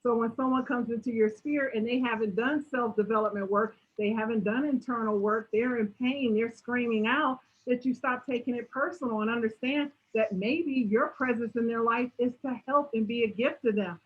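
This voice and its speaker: A woman with a strong, fairly high voice and a husky edge speaks in an emphatic, animated way as she answers audience questions in a motivational session. The audio is dull and lo-fi, like an online call. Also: moderate pace, few pauses. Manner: emphatic, animated, repeats "right?". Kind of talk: motivational Q&A talk.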